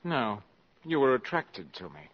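An actor playing a calculating, ambitious valet in a 1950s radio drama, speaking formally and deferentially but with self-assurance. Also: male voice, mid-range voice, sing-song, unhurried. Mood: bold, intimate. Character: smooth, calculating, ambitious valet addressing a countess. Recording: muffled vintage 1950s radio recording.

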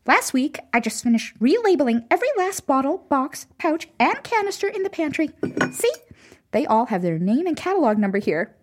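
A chirpy, earnest herbalist in a fantasy comedy audio drama. The voice sits very high and swings up and down freely. She gushes with bubbly eagerness. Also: very animated, moderate pace, background noise. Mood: eager, delighted. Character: bubbly, eager young female herbalist and potion maker.

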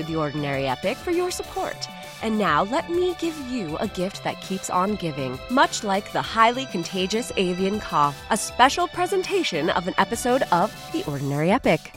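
A woman presenting a podcast in a comic scripted monologue, theatrical with awkward asides. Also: medium pitch.

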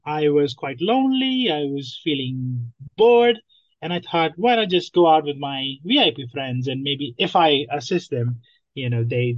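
A man's voice: on the high side, no echo, highs cut off. A young man from Nepal, a guest on a podcast, speaking hesitantly with many ums and restarts. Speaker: guest, app founder. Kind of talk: podcast interview.

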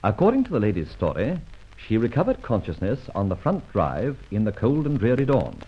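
Dull old radio recording of a breezy gentleman adventurer. His pitch leaps about with great animation as he teases and banters in flippant, theatrical style. The voice has a husky grain and plenty of mischief. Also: huge pitch swings, a little fast, heavily acted. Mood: clever, explaining. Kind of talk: mid-century radio drama acting.

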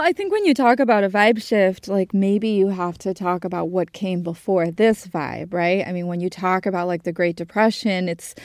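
A woman's voice: mid-range voice, darkish tone, no echo, clean studio sound. A woman hosting a news radio program, narrating and interviewing in a conversational manner. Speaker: guest radio host.

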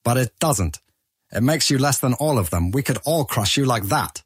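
A man's voice: medium pitch, animated, moderate pace, husky, no echo, clean studio sound. A man playing a hostile, contemptuous armed guard in a fantasy audiobook drama. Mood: menacing. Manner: contemptuous, threatening. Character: hostile, sneering armed male merchant-guild guard. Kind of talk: full-cast fantasy audiobook acting.

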